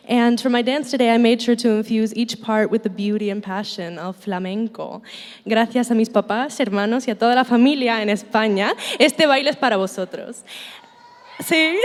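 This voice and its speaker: A woman's voice: a little high, in a large hall. A woman choreographer giving a sincere short speech on stage, switching into Spanish for thanks.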